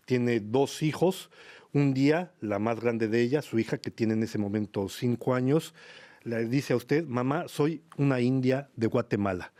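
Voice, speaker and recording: A man hosting a radio interview, speaking in a measured, formal way and leading with long framing questions. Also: medium pitch, a little mellow. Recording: radio broadcast studio.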